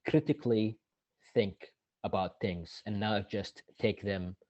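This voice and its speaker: A man, a guest answering interview questions in a halting way and often restarting mid-sentence. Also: on the low side, darkish tone, dry recording. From Iraq. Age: 30s. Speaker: guest, activist. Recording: dull, lo-fi dry recording.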